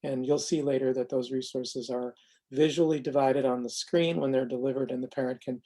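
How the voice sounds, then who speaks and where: A man presenting a software demonstration in an informal, unscripted way, explaining carefully and haltingly with self-corrections. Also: mid-range voice, fairly even intonation. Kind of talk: informal narrated screen demo, unscripted explanation.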